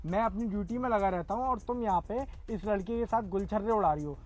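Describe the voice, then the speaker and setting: A man's voice: sits high, fast, darkish tone, faint music behind, close to an everyday voice. A man acting a stern, suspicious older brother who is a policeman, in an amateur melodramatic skit. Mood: stern, angry. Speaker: actor in a moral-story video.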